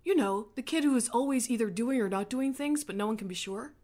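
A woman's voice: a little high, brisk, dark tone, natural voice. A woman playing a dry, unimpressed, matter-of-fact schoolgirl in a comic radio play. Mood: deadpan.

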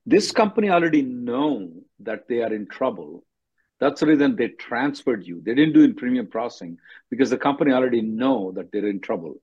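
A man's voice: mid-range voice, lively intonation, fairly quick, a little mellow, breathy edge. A man giving blunt, directive advice as an attorney hosting a question-and-answer call, often repeating himself. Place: conference call. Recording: dull lo-fi conference call audio.

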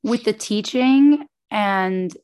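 A woman's voice: medium pitch, extremely slow, a little mellow. Scratchy-voiced woman chatting. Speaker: podcast co-host. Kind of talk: casual chat.

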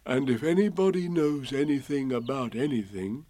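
A man's voice: medium pitch, normal speed, mellow, no room sound, natural voice. A man voicing a kindly, simple-witted toy bear in a storybook, speaking earnestly and solemnly. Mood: musing.

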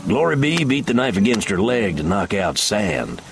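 A man's voice: on the low side, sing-song, moderate pace, gravelly, crowd noise. A man narrating fiction from a script, as an audiobook narrator.

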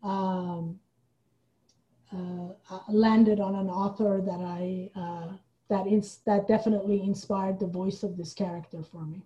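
A woman's voice: medium pitch, fairly even intonation, measured pace, mellow, dull audio. A woman from Iran speaking as an interview guest in a thoughtful, conversational way. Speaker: guest, playwright and theater artistic director.

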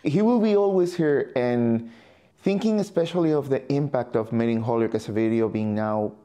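An interviewer speaking in a reflective, celebratory style, deliberately building phrases in series. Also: medium pitch, dark tone.

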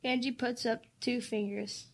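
A woman's voice: fairly high pitch, steady tone, unhurried. A woman reading scripted narration for an amateur radio play, with some noise on the recording. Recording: slight background noise.

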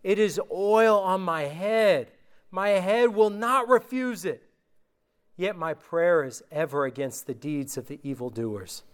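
A man preaching an informal sermon, reading scripture aloud slowly and conversationally, his voice worn from recent illness. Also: high-pitched, expressive, smooth. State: recovering from illness, voice going.

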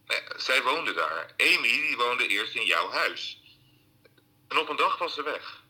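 Male newsroom colleague: guarded, offhand, sharp-edged voice, varied pitch, radio drama. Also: big pitch swings, a little slow, full character voice, low-quality recording. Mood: puzzled, suspicious. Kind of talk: naturalistic audio drama dialogue.

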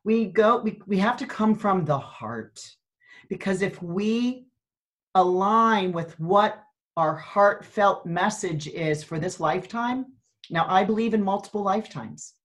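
A woman's voice: mid-range voice, measured pace. A woman in her sixties, a coach and podcast guest, talking earnestly and reflectively about purpose, often restarting her sentences. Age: 60s. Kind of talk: casual spiritual conversation.

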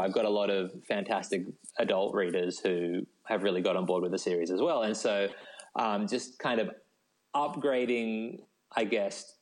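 A man's voice: deep voice, low-quality recording. An Australian man speaking as an interview guest, in a casual, discursive way peppered with "like" and "you know". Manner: informal, discursive, frequent 'like' and 'you know'.

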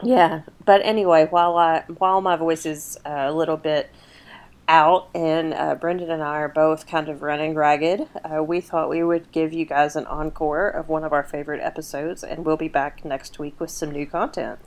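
A woman co-hosting a podcast in casual chat, raspy and half losing her voice from a cold, laughing often. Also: sits low, steady tone, moderate pace. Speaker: podcast co-host.

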